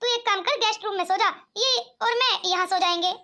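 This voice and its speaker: A woman's voice: extremely high pitch, brisk, pushed and bright. A woman voicing a kindly, coaxing middle-aged mother in an amateur cartoon comedy skit.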